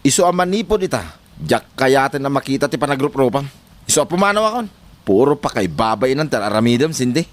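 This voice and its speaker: An actor playing an exasperated young husband in a comedy drama, complaining and reasoning. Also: male voice, mid-range voice, sing-song, a little fast, close to an everyday voice. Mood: angry, fed up. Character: exasperated young husband fed up with his wife.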